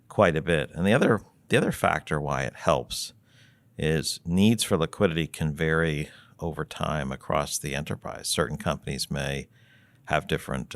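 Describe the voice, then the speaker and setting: Podcast guest, man, very deep bass voice, unhurried and thoughtful, lots of ums; dry close recording. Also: no echo. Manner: measured, thinking aloud with many fillers and restarts. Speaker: treasury consultant guest. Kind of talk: explanatory podcast conversation.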